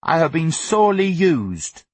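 A man's voice: high voice, big pitch swings, moderate pace, breathy edge, no echo, pitched above the performer's own voice, exaggerated voice. A man voicing an indignant young schoolboy who argues his innocence in a pompous, grand way for a storybook. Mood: stout, self-pitying.

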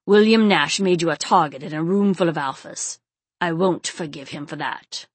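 A woman plays a grim male enforcer in an audiobook. He speaks low and gravely, with restrained anger and a slight growl. The sound is close and dry. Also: no echo. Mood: grim, protective. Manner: grave, measured. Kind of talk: audiobook character acting.

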